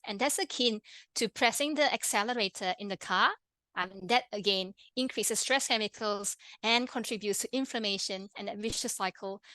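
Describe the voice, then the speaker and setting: A woman with a Malaysian accent, a pharmacist and health coach, presenting an online workshop in a friendly, quick way with many fillers and restarts. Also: mid-range voice, pushed and bright, no echo, rough recording. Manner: fast-talking, friendly, with frequent fillers and restarts.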